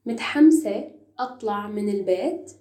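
A woman's voice: medium pitch, lively intonation, rapid-fire, mellow. A woman, speaking as a language teacher and interviewer for learners, recorded in an echoey room. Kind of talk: vocabulary reading and simple learner interview.

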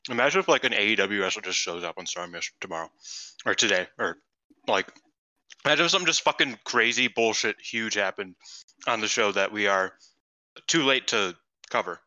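A congested man with a very bright, forward voice and a low-set pitch, chatting on a podcast. He rambles, hesitates and drops the odd swear word. The sound is dry and close. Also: lively intonation, fairly quick, no echo, rough recording. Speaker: podcast co-host. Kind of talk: casual chat.

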